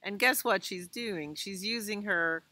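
A woman's voice: medium pitch, a little slow, sharp tone, no echo. An American woman in her sixties reading a picture book aloud to young children outdoors, with simple explanatory asides and questions. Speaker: children's librarian reading storytime. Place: outdoors in a leafy garden.

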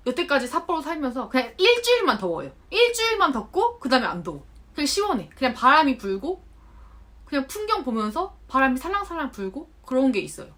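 A Korean woman in her thirties talking casually to camera, chatty and animated, acting out remarks she quotes. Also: sits high. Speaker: vlogger. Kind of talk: unscripted talk to camera. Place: home in Japan.

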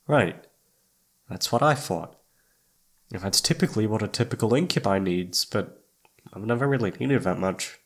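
Man acting a shy, stammering young incubus, lowish voice. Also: animated, moderate pace, pauses often, slightly rough. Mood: weary, pensive. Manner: hesitant, stammering, awkward, trailing off. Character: shy, flustered young male incubus roommate, awkward and smitten. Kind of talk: ASMR roleplay audio drama.

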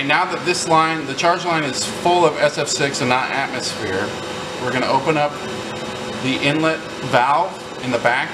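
A man in his thirties gives a casual, informal hands-on technical demonstration, explaining each step like a technician. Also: mid-range voice. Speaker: technician demonstrating equipment maintenance. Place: indoor lab among large machines. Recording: roomy, distant mic, loud machine hum. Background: air conditioning and machinery noise.

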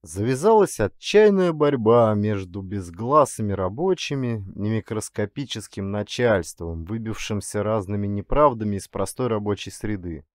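A man narrating a literary story as an audiobook, in an expressive, actorly reading style. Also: lowish voice, moderate pace, hardly any pauses, dry recording, clean studio sound.

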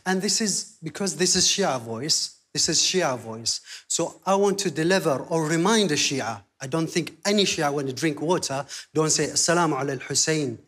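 A man, answering as a contestant in a humble, earnest way with many pauses. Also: a little high, a bit bright, no room sound. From Iraq. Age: 40s. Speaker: talent show contestant. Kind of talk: soft-spoken interview answers.

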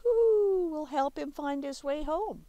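A woman's voice: sits high, expressive, dark tone, dry recording, muffled recording, voice pushed up. A woman voicing a wise old owl of the forest who hoots and orders a lost child away. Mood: kindly. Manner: hooting, commanding, drawn-out.

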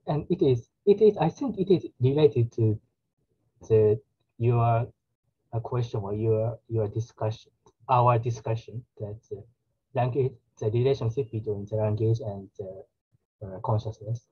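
A man haltingly explaining a research point over a video call, with many restarts. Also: medium pitch, breathy edge, dull audio. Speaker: podcast co-host.

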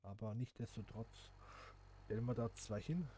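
A man's voice: fairly low pitch, normal speed, dark tone, slight background noise. A man, chatting casually to viewers and thinking aloud as he plays a simulation game. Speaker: gaming channel host. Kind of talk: casual gameplay commentary.

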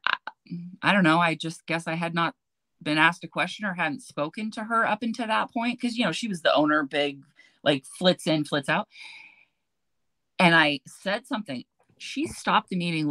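A middle-aged woman with a deep voice, chatting casually and telling stories on a video call. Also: no echo. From United States. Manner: chatty storyteller, animated, gestures while talking. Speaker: beauty influencer, guest. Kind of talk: casual chat on a livestream. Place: walk-in closet with shelves, indoors. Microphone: webcam on a video call.

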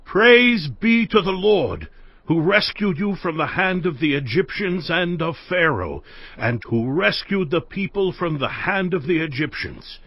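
A man voicing Jethro, a wise older priest giving measured counsel. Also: on the high side, very animated, dry recording, dull audio. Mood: advising.

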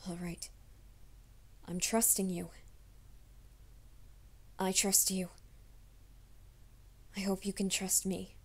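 A woman voicing a gentle, nervous young male werewolf in an ASMR roleplay, speaking softly and often in whispers. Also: mid-range voice, level tone, slow pace, long pauses. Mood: soft reassuring. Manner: soft, hushed, often whispering.

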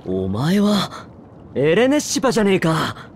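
A rough-spoken rabbit-eared townsman in a video game. He talks slowly and bluntly, drawing out his hesitations with a very lively pitch. Some ambient noise sits behind the voice. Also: heavily acted. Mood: surprised, friendly. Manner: blunt, slangy, drawn-out hesitations. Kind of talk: Japanese video game voice acting.

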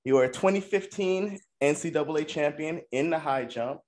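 A man's voice: on the high side, normal speed, a little mellow. A man hosting a sports interview podcast, upbeat and joking as he reads out his guest's achievements. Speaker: podcast host. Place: indoor room with brick wall.

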